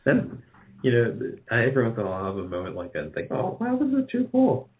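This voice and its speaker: A man's voice: mid-range voice, huge pitch swings, fairly quick. A man chatting casually as an interview guest, thinking aloud in a hesitant, rambling way. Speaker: guest, radio drama producer. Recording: muffled, band-limited call audio.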